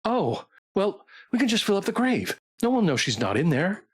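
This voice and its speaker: Man voicing a high, anxious well-bred young woman. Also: big pitch swings, normal speed, slightly rough, full character voice. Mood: anxious hopeful. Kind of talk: character acting in visual novel readthrough.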